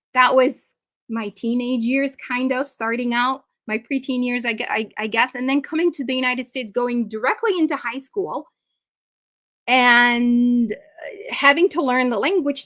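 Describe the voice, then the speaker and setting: A woman's voice: fairly high pitch, fairly bright tone. Encouraging woman presenting a parenting webinar. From Iraq. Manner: conversational, encouraging, frequent ums and uhs. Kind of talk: live webinar talk to parents.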